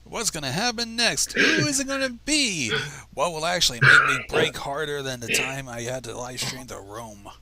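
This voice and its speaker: A man's voice: a little high, expressive, moderate pace, hardly any pauses, over a noisy crowd. A man reading fan fiction aloud on a livestream, narrating expressively and dropping into casual asides. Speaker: livestream reader.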